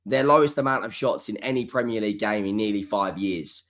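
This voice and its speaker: A fairly low-pitched man, hoarse and a bit rough because he is coming down with flu. He gives his solo post-match reaction to the camera, hammering points home and repeating words for stress. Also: fairly quick, fairly bright tone. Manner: emphatic, repeats key words. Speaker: football channel host.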